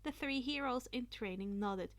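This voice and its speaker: A woman reading fan fiction aloud as scripted narration, in a dramatic, deliberate manner. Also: a little high, fairly bright tone.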